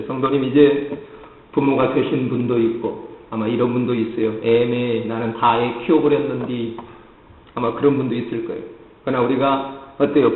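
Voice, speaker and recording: A male pastor from the Jeolla region of Korea with a Jeolla accent, preaching earnestly and often speaking straight to his congregation. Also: mid-range voice. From Jeolla, South Korea. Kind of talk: sermon. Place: church sanctuary. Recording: echoey church hall, muffled lo-fi sound.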